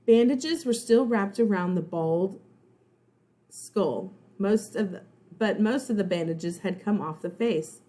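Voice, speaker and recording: A woman, a first grade teacher, narrating a children's storybook in an expressive, suspenseful, dramatic way. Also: medium pitch. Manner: suspenseful, dramatic, pauses to explain words.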